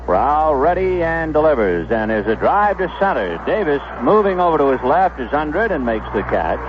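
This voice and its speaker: A male baseball announcer calls the game in measured, descriptive phrases. His pitch rises and falls freely over a muffled old radio broadcast with faint noise behind him. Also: very animated. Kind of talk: live radio sports commentary. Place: stadium broadcast booth.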